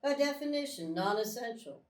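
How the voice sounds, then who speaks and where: An actress in a live script reading playing a stern, unflinching colonel with a firm, curt, commanding manner. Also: female voice, fairly high pitch, expressive, moderate pace, slight background noise, close to an everyday voice. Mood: cold, defiant. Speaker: actor in a live screenplay table read. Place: small live theatre stage. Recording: distant, echoey live theatre recording.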